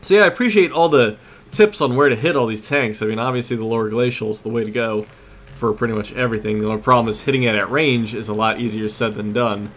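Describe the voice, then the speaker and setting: A man's voice: medium pitch, fast, fairly bright tone. A man giving casual, rambling gameplay commentary as host of a gaming series, often losing his train of thought.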